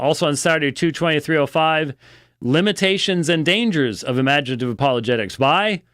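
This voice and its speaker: A man giving unscripted religious news commentary as a program host, halting and deliberate, with a critical, emphatic edge. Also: medium pitch, fairly bright tone, clear, resonant tone, dry recording, clean studio sound.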